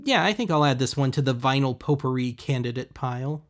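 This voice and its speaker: A man's voice: mid-range voice, lively intonation, a little fast. A man hosting a thrift-shopping video, giving casual voice-over commentary in a wry, sarcastic manner.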